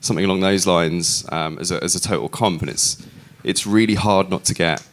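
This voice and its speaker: A man's voice: low-pitched, brisk. A man from London speaking as a panelist at a live event, wry and candid as he talks through his points.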